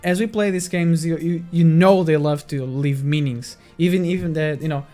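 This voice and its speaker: A man co-hosting a casual chat, speaking haltingly and often repeating his phrases. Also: fairly high pitch, warm tone. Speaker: co-host. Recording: faint music behind the voice.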